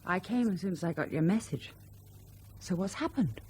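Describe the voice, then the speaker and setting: A woman's voice: on the low side, lively intonation, moderate pace, mellow, a little hiss or hum, natural voice. An actress playing a concerned, flirtatious female colleague in a radio drama. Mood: concerned, coy.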